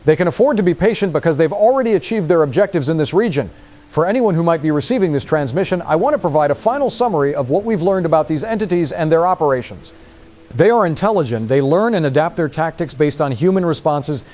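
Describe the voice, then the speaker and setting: Radio drama: male actor as trapped survivor on air, slightly high voice, quick delivery, urgent, formal, fatalistic. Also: expressive, a little mellow, close to an everyday voice. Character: trapped male survivor broadcasting a grim last report. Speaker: broadcaster. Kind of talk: scripted apocalyptic radio drama monologue.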